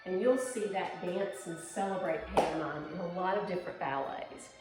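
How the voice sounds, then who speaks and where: A woman's voice: fairly low pitch, moderate pace, over loud music. A woman giving an instructional dance lesson on video, explaining simply step by step and prompting pupils with encouragement. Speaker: dance teacher. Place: indoor room at home. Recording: very echoey room.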